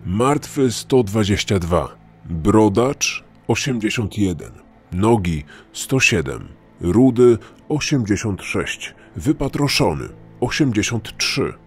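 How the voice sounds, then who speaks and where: A man voicing a grim killer's secret list, slow and ominous, with a husky voice. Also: lowish voice, very animated, soft background music, full character voice.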